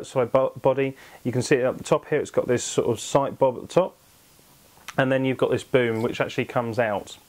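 A man's voice: mid-range voice, a little mellow. A young man in his twenties, host of an angling channel, explaining tackle to camera from a small home office with lots of fillers and self-corrections.

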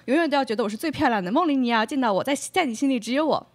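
A young woman plays a coquettish bachelorette in a radio comedy. Her voice is high. She is demanding and insistent, reeling off conditions one after another. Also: animated, racing. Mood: coy, demanding. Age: young adult. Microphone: stage microphone on stand.

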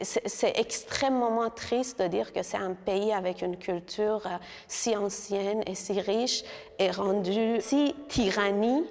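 A woman's voice: mid-range voice, gravelly, a little mellow, long reverb tail, slight background noise. A woman, talking earnestly about her art with frequent hesitations. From Iran. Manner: earnest, hesitant with many filler sounds. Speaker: visual artist. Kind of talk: interview about her exhibition.

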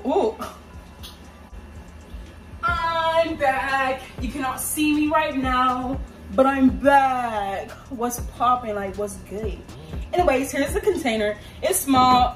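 Distant, high-voiced young American woman. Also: takes it slowly, faint music behind. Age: young adult. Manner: slangy, playful, talking to viewers. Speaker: home cooking vlogger. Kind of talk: casual cooking vlog chat. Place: small home kitchen, indoors. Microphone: phone or camera propped at a distance. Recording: distant mic, noisy home kitchen ambience.

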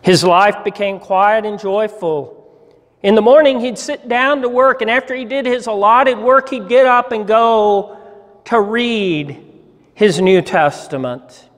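Male pastor, 60s, storyteller at the pulpit. Unhurried, measured read, long hall reverb. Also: sits high, fairly bright tone. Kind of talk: dramatic storytelling reading from a church pulpit. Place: church sanctuary, at a lectern.

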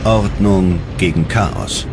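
A man narrating a documentary from a script, with dramatic, measured storytelling. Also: sits low. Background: music.

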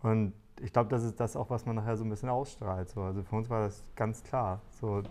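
Relaxed man in his forties, lapel mic. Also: on the low side, fairly quick, a little hiss or hum. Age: 40s. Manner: thoughtful, with many fillers and restarts. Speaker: interviewee, father on a shared farm. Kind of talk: relaxed conversational interview. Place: bright living room indoors.

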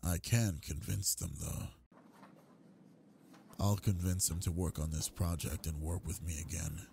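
A young man playing a brooding, possessive delinquent goth bully in an intimate ASMR roleplay, with a low, husky voice and a domineering murmured drawl. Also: steady tone, unhurried, mellow, a little hiss or hum. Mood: brooding, musing.

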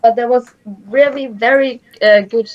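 A woman's voice: fairly high pitch, fairly even intonation, unhurried, lo-fi sound. A young woman from Germany chatting with a stranger on a video call, giggly and hesitant in English. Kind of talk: casual video chat.

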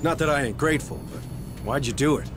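A man's voice: a little high, quick pace, over music, close to an everyday voice. A man playing a troubled, defensive military sergeant in a game drama, speaking in a blunt, folksy, terse way. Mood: wry, blunt. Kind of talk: dramatic video game cutscene acting.

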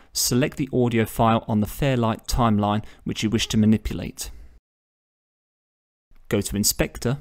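A man narrating a step-by-step screen tutorial as the channel host, measured and instructional. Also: lowish voice.

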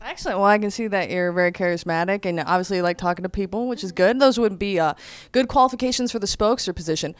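A woman's voice: medium pitch, smooth. A young woman interviewing a candidate in an upbeat, friendly manner, holding a handheld mic in an indoor room. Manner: bright, upbeat, quick questions. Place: indoor room before a banner.

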